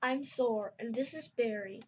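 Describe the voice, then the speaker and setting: An earnest young male skywing dragon, voiced by a woman in a read-aloud storybook, polite and a touch awkward. The voice is high and a little breathy. The recording is muffled and lo-fi. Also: measured pace, darkish tone. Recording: dull lo-fi recording.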